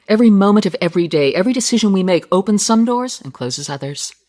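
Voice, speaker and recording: A woman playing a sympathetic, gently questioning confidante in a comic science fiction radio drama. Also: medium pitch, lively intonation, fast, close to an everyday voice. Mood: probing.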